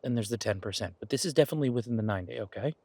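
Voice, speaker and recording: A man playing an affectionate, eager boyfriend in an audio roleplay, talking in a casual, halting, coaxing way with a husky voice. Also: mid-range voice, lively intonation, darkish tone, dry recording. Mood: eager, persuasive. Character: affectionate, eager boyfriend pitching grand ideas to his werewolf girlfriend.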